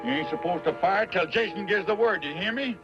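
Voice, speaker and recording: Man playing scolding, belligerent frontiersman, higher-pitched, radio drama, dull recording. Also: a little fast, natural voice. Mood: gruff, scolding. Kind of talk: old-time radio western acting. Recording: dull old radio recording, loud music.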